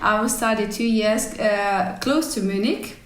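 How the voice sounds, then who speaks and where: A woman's voice: medium pitch, measured pace. Echoey woman telling her story. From former Soviet Union. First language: not English. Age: 40s. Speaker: podcast guest, cycling team executive. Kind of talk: conversational interview storytelling. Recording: roomy, echoey sound.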